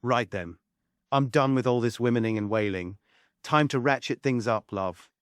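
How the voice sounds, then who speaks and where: A man's voice: mid-range voice, moderate pace, clear, resonant tone, no echo, clean studio sound, natural voice. A man playing a snarky, impatient, irreverent boss in a script read of a musical comedy play. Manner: sarcastic.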